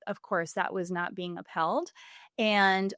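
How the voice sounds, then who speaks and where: A woman's voice: mid-range voice, steady tone, slow, fairly bright tone, smooth, no echo, studio quality. A woman in her forties from Puerto Rico, a singer and voice coach, speaking candidly and reflectively in a podcast interview, with frequent fillers and laughs. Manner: earnest, reflective, laughs often, many fillers.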